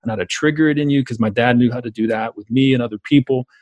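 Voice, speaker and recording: Southern US male coach and speaker, very quick, emphatic interview delivery, close dry sound. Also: mid-range voice, a little mellow, no room sound, lo-fi sound. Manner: emphatic, repeats phrases for stress. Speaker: business coach, speaker and author being interviewed. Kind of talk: conversational interview, storytelling.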